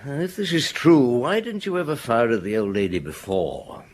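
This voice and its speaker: An actor playing a patient, shrewd police detective in a radio drama, coaxing answers in a husky voice. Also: male voice, medium pitch, very animated. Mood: quietly pressing. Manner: probing, coaxing, unhurried questioning. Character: patient, shrewd male police detective gently questioning a boy.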